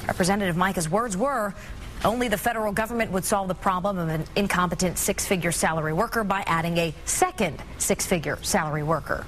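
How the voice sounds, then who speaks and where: A woman's voice: lowish voice, quick pace, a little hiss or hum, rough recording. A woman working as a television news anchor, presenting scripted news and putting questions to guests.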